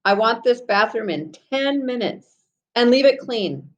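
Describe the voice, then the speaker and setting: A woman's voice: on the high side, expressive, a little slow, dull audio. A woman voicing a practical, no-nonsense storybook father with plain, matter-of-fact delivery. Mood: firm.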